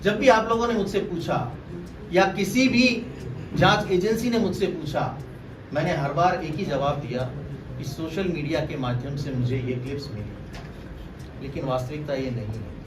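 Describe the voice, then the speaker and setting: A man with a slightly high voice speaks to the press in a deliberate, emphatic way, repeating key phrases for stress. He is picked up from some distance in an echoey room, with clear noise around him. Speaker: former political aide making a public statement. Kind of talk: press conference statement.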